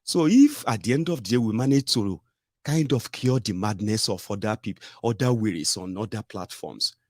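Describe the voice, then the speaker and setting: Combative Nigerian man, husky voice. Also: on the low side, lively intonation, normal speed, a little mellow, no echo, clean studio sound. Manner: combative and mocking, rhetorical asides. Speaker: political commentator. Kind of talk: opinionated solo commentary.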